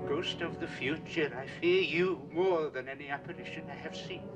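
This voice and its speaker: An actor playing a fearful, bewildered old miser, speaking in an earnest, formal old-fashioned way in a film musical. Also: male voice, fairly high pitch, normal speed, close to an everyday voice. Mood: spooked. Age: older. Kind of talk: 1970s film musical acting. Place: snowy outdoor street set. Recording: film soundtrack with loud music and crowd.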